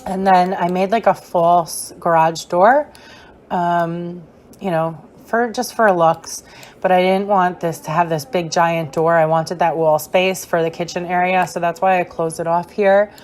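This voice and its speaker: Young woman, hoarse, fading voice, rambling, chatty, game tour voice-over, low-pitched. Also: fairly even intonation, unhurried, a little mellow, a little hiss or hum. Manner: chatty and rambling, with many fillers. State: hoarse, losing her voice. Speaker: gaming creator. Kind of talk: casual gameplay voice-over tour.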